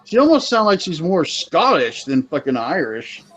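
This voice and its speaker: A man's voice: fairly high pitch, sing-song, moderate pace, a bit bright, soft background music. A man rambling crudely in casual group chat, often restarting his sentences. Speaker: live chat co-host.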